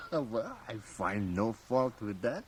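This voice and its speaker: An actor playing a very old, mischievous and learned priest in a radio drama, with a gravelly aged voice and sly, chuckling asides. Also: male voice, medium pitch, big pitch swings, slow. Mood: amused. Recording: faint hiss, home cassette radio transfer.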